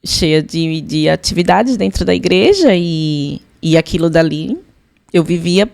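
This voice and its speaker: A woman's voice: on the low side, a little slow, gravelly, a little mellow. A woman with a Brazilian accent telling personal testimony as a podcast guest, emphatic, repeating phrases and voicing her own prayers.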